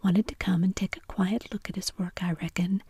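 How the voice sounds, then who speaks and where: A woman's voice: medium pitch, fairly even intonation, fairly quick, mellow, slightly rough, dry recording. A woman voicing a scornful townsman in a crowd, whispering in a storybook reading.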